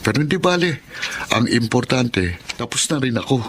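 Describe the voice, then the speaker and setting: A polite working lad of twenty with a Filipino accent. He speaks sincerely and respectfully at a middling pitch, as in a heartfelt drama. Faint noise sits behind him. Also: sing-song, normal speed, fairly bright tone. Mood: earnest. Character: earnest, polite twenty-year-old male gas station attendant and narrator. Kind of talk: Filipino drama acting and narration.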